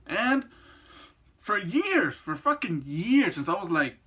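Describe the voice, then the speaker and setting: A man's voice: high-pitched, sing-song, takes it slowly, a bit bright, slightly rough. A man in his twenties giving casual, rambling, opinionated commentary on games and films, swearing freely as he goes. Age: 20s. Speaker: video creator and host.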